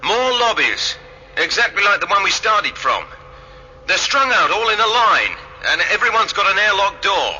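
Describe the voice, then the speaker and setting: An actor playing an irritable, sardonic starship crew member in a radio drama. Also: male voice, sits high, very animated, normal speed, bright, forceful tone, dull audio, a little hiss or hum, exaggerated voice. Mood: irritable reporting. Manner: sardonic, impatient.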